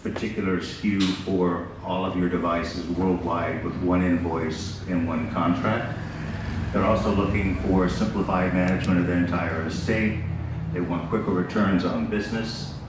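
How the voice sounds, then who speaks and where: A middle-aged American man giving a conference presentation in a relaxed, conversational way, with frequent fillers. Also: deep voice, moderate pace, music in the background. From United States. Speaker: business development speaker. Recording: echoey hall, distant mic, background noise.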